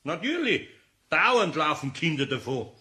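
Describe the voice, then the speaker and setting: An older Bavarian carpenter in a children's radio play, good-natured but distracted. He grumbles in a fairly high, bright voice with homely phrasing. The sound is roomy, with faint tape noise. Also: very animated, unhurried, exaggerated voice. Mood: preoccupied, grumbling. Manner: colloquial, absent-minded muttering. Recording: roomy, faintly noisy cassette recording.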